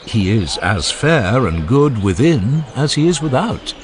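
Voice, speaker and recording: A man voicing a cultured, proud Athenian gentleman with an assured, persuasive manner in an audiobook dialogue. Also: mid-range voice, very animated, unhurried, a crowd in the background, pitched above the performer's own voice.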